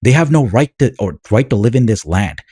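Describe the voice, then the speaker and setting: A man's voice: fairly low pitch, big pitch swings, a little fast, mellow, husky, no echo, studio quality. An American man ranting bluntly and combatively in a commentary vlog. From United States. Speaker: political commentator.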